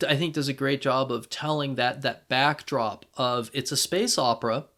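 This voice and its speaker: A man co-hosting a podcast, talking casually as a fan and thinking aloud in a halting way. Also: mid-range voice, fairly bright tone. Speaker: podcast co-host.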